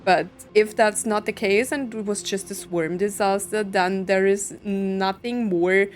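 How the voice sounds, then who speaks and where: A woman's voice: medium pitch, steady tone, unhurried. A woman giving casual let's-play commentary, thinking aloud in a puzzled, speculative way. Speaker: gaming content creator playing and reacting to a story quest.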